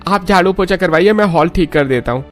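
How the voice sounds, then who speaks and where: A voice actor playing an agreeable grown brother in a cartoon, going along with things while quietly scheming, at a middling pitch. Soft music plays underneath. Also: a little fast, natural voice.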